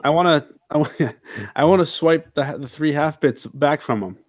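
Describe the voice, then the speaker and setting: Playful, higher-voiced man bantering at a game. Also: moderate pace, no echo, clean studio sound. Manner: playful, eager, repeats himself. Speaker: tabletop game player. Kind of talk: casual joking banter.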